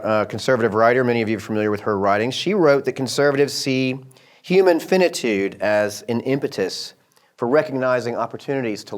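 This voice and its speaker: A middle-aged man from Georgia with a slight Southern accent, giving a lecture with many pauses and fillers. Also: mid-range voice. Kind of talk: lecture to an audience.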